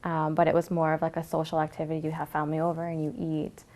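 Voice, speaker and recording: Soft-spoken young Canadian woman, lowish voice. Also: monotone, fast talker. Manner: reflective, soft-spoken, many fillers. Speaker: interviewee sharing her story. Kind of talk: personal testimony.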